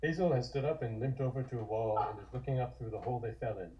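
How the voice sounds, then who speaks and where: A man's voice: medium pitch, quick pace, lo-fi sound. A man reading scripted scene narration for a comic table read.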